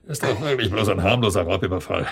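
An actor playing a cold, domineering armed intruder in a radio drama, with a rough, gravelly voice. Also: male voice, on the low side, quick pace. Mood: taunting. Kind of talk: German radio drama acting.

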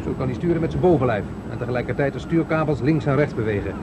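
An actor playing a precise, proud inventor in a Dutch radio play, giving technical explanations. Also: male voice, medium pitch, racing, natural voice. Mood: precise, explanatory. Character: precise, proud male inventor showing his machine. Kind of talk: 1970s Dutch radio drama acting. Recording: old radio play, loud outdoor noise effects.

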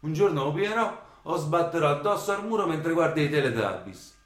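An actor playing a feckless, crude young layabout from Rome in a radio drama, with a Roman accent and blunt slangy talk. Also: male voice, fairly high pitch, lively intonation, brisk, fairly bright tone. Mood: exasperated. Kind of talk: radio drama dialogue acting. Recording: echoey room.

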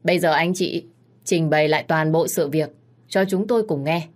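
A woman's voice: sits low, normal speed, darkish tone, natural voice. A woman narrator plays an old grandmother in a storybook drama. She is bewildered yet demanding, questioning with authority. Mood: firm.

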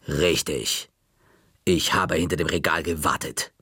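A man voicing a stern older female librarian who speaks slowly, low and with quiet menace in an audiobook reading. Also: fairly even intonation, slightly rough, no room sound, clean studio sound, exaggerated voice. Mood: menacing. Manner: slow, low, quietly threatening.

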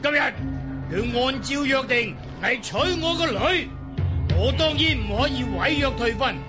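A hearty, high-pitched Mongol lord. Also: male voice, lively intonation, moderate pace. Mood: proclaiming. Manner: declamatory, ceremonious. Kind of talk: Cantonese audio drama acting. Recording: loud music behind the voice.

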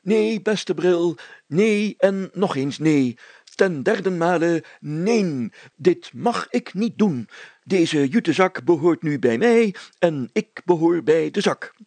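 Man acting village mayor, storybook character, officious, pompous, easily flustered, husky, close dry recording. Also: fairly high pitch, lively intonation, slow, darkish tone, no room sound, pitched above the performer's own voice, full character voice. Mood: stubborn, refusing. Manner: stiff, formal, self-important, flustered hesitations.

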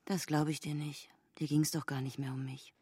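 Breathy, desperate woman in long reverb. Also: low-pitched, level tone, normal speed, mellow. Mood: hurt, subdued. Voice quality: breathy, half-whispered. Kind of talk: German radio drama acting. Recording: long hollow reverb, music underneath.

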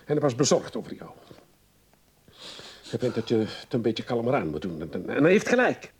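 A man's voice: mid-range voice, very animated, brisk, slightly rough. An actor in a radio play playing a domineering middle-aged husband who scolds his wife in a stern, condescending, exasperated way. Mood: admonishing.

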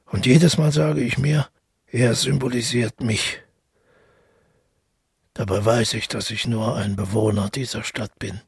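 A haunted, solitary man confessing in a literary radio play. His voice is very husky and full of gravel, recorded close and dry, and he speaks in a measured, inward way. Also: mid-range voice, fairly even intonation, no echo, full character voice. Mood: brooding, reflective. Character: brooding, isolated man haunted by dreams and guilt. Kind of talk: literary radio drama monologue.

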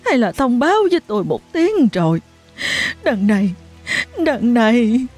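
A woman's voice: fairly high pitch, big pitch swings, measured pace, a little mellow, faint music behind, pitched above the performer's own voice. A woman playing a sobbing older village mother. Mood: sobbing, frantic. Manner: wailing, pleading. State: crying.

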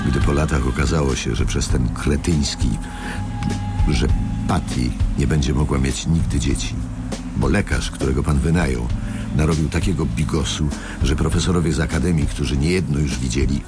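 An actor in a radio drama playing an embittered, vengeful grandfather, speaking deliberately and with menacing contempt. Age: older. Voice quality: very deep, gravelly. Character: embittered, vengeful grandfather confronting his daughter's abuser. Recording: music under the voice.

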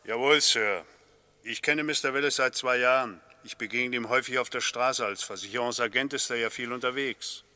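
Unshakeable constable witness, crisp forceful tone, deferential, factual, dry sound, sixties German radio drama. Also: male voice, mid-range voice, fairly quick, dry recording. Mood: dutiful, factual. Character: dutiful, unshakeable male police constable witness. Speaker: actor in a radio play.

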